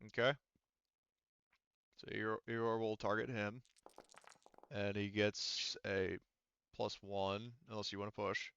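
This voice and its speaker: A man's voice: on the low side, level tone, a little slow, vocal fry, pauses often, fairly bright tone, clear, resonant tone, dry recording. A man speaking casually and profanely as game master of a tabletop role-playing game, in slightly muffled audio.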